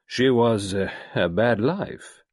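A man voicing an earnest middle-aged French doctor who speaks haltingly and thoughtfully. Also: lowish voice, sing-song, dry recording, studio quality, voice pushed up. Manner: halting, thoughtful, with hesitant fillers.

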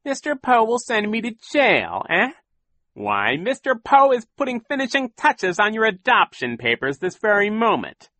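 A man voicing a sneering, greedy villain captain who mocks children loudly. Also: high voice, huge pitch swings, normal speed, dry recording, pitched above the performer's own voice, heavily acted. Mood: mocking. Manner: mocking, gloating, loud.

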